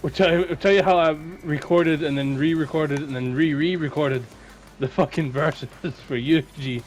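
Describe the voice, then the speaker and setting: A man co-hosting a casual gaming podcast, talking in a halting way and often repeating his words. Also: on the high side, steady tone, low-quality recording. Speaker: podcast co-host. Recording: faint background noise.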